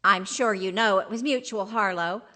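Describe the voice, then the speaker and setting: A woman playing a kindly older wife in an old-time radio comedy, gracious and gently correcting. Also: mid-range voice, moderate pace, close to an everyday voice. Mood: gracious, fond.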